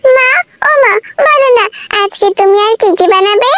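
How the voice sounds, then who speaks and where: A woman's voice: very high-pitched, moderate pace, noisy background, pitched above the performer's own voice. A woman voicing a greedy, pleading girl who loves food, wheedling and pouty, in a children's moral cartoon. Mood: pleading, eager.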